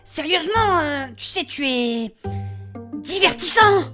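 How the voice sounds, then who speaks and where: High, animated, sentimental heroine played by a woman. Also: slow, over music, full character voice. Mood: earnest, fond. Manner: emotive, earnest, hesitant when confiding. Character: sensitive, sentimental young heroine, easily exasperated. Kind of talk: French comic fantasy audio drama.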